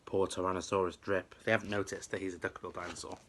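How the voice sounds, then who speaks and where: Man, children's story reading, emphatic rhyme, expressive, fairly low, a little raspy. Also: fast talker. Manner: emphatic, rhythmic delivery of rhyme. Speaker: teacher reading a story to pupils.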